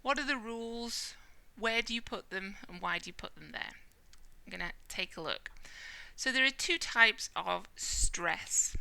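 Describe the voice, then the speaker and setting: A British woman teaching a Spanish lesson, explaining clearly and checking in with the listener as she goes. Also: medium pitch, lively intonation, measured pace, pushed and bright, rough recording. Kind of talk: whiteboard language lesson.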